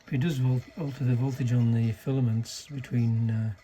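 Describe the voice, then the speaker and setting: A man giving a casual, unscripted technical demonstration in a halting, low-key way, like a restorer explaining equipment. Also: steady tone, dark tone, a little hiss or hum. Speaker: vintage radio restorer demonstrating a set.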